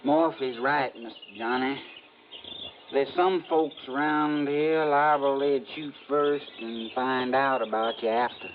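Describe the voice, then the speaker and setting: Folksy Southern hill-country man, played by a male actor, rustic drawl, mid pitch, muffled vintage radio with heavy noise. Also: fairly even intonation, takes it slowly, close to an everyday voice. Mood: warning, neighborly. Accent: rural Southern American. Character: backwoods moonshine-country man, folksy, protective, sweet on a widow. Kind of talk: 1950s radio drama acting. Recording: dull, noisy vintage radio recording.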